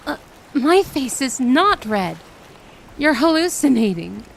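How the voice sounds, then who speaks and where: A woman's voice: sits high, very animated, takes it slowly, creaky, slight background noise, exaggerated voice. A woman playing a shy, kind-hearted mermaid in a roleplay audio drama, with a flustered, hesitant, stammering manner. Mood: flustered, indignant.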